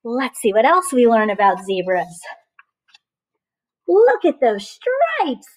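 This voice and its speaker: Slow-paced woman teaching young children. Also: on the high side. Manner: animated, encouraging, asks questions and pauses for answers. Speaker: early-years teacher reading a picture book aloud. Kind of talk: read-aloud lesson for young children.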